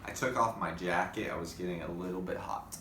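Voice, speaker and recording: A man's voice: sits low, a little fast, sharp tone. A man reading a storybook aloud to children, expressively, with chatty asides to his young listeners. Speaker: storybook reader. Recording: echoey room, faint background noise.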